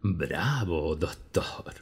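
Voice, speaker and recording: The brilliant patient in a dim film-drama office, an older professor speaking slow, husky, insistent Castilian Spanish, proud and troubled a man acting it out. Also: low voice, sing-song, full character voice. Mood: weary, insistent. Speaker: dubbing actor.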